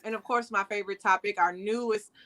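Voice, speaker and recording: A woman hosting a casual online talk show, loud and playful, jumping her pitch up for emphasis. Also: steady tone, fairly quick. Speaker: host. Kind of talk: casual chat.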